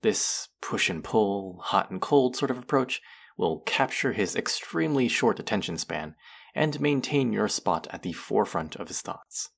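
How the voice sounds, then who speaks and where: A man's voice: lowish voice, animated, a little slow, gravelly, husky, full character voice. A man playing a condescending, sardonic young college student, the rude twin in a scripted audio roleplay. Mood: condescending, instructing. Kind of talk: scripted roleplay addressed to the listener.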